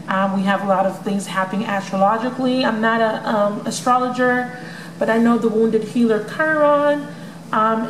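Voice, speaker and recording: A woman giving a casual tarot love reading to her viewers, chatty and full of ums, with a voice that may crack from overuse. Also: mid-range voice. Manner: chatty, many ums, addresses viewers as you guys. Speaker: tarot reader. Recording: echoey room with noisy background.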